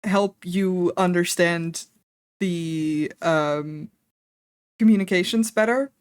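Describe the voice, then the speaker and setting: A woman's voice: on the low side, takes it slowly, clean tone, no echo, studio quality. A young woman from the Netherlands with a Dutch accent, chatting casually and candidly on a stream, laughing often and swearing freely. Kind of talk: casual stream chat while drawing.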